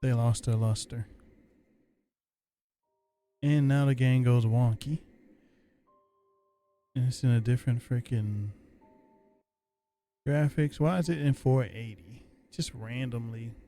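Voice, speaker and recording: Chill guy talking through a horror game, slow and mellow, a little music under it. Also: mid-range voice. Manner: slow, laid-back, musing aloud. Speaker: gameplay streamer. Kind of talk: casual gameplay commentary.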